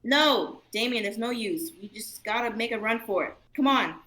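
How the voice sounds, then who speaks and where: A woman's voice: a little high, expressive, normal speed, a bit bright. A young woman playing an outspoken, protective big sister in an amateur livestreamed drama reading. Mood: urgent, commanding. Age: young adult.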